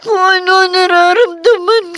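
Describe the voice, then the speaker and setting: A woman's voice: very high voice, steady tone, unhurried, fairly bright tone, no room sound, clean studio sound, faint music behind. A woman acting as a young woman betrayed by her family, speaking bitterly through sobs. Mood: sobbing despair. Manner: sobbing, bitter and despairing. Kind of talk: radio drama acting.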